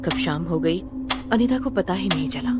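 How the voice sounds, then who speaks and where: A woman's voice: lowish voice, lively intonation, normal speed, very noisy. A woman narrating a scripted horror story in a dramatic storytelling style. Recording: loud music bed behind voice. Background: loud music.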